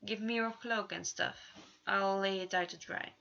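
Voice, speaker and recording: A guarded, scowling storybook man, read by a woman, speaks with sardonic bite in a bright voice. His delivery is muttered and dry, on a slightly dull recording. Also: mid-range voice, steady tone, unhurried, gravelly. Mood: guarded, muttering. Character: scowling, sardonic, guarded male companion of a prince.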